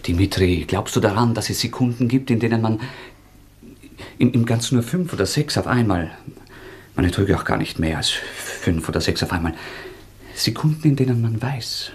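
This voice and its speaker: Intense, brooding young man acted, animated pitch. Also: close to an everyday voice. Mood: obsessive, intense. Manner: halting, repetitive, intense. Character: feverish, obsessive, brooding young former student. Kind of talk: German radio drama acting.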